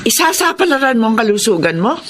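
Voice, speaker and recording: A woman's voice: fairly high pitch, very animated, quick pace, background noise. An actress playing a worried middle-aged wife and mother in a radio drama, chiding and concerned as she speaks.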